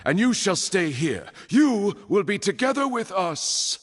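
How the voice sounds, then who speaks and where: A man's voice: sits high, very animated, unhurried, heavily acted. A man playing a grandiose, fervent judge in a video game, speaking in formal, emphatic declamation. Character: theatrical judge, grandiose and fervent, devoted townsman. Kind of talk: scripted video game voice acting. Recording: long courtroom reverb, music behind.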